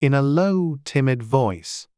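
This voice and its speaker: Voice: mid-range voice, lively intonation, slow, warm tone, clear, resonant tone, no room sound, studio quality. A narrator reading a children's storybook as a scripted audiobook.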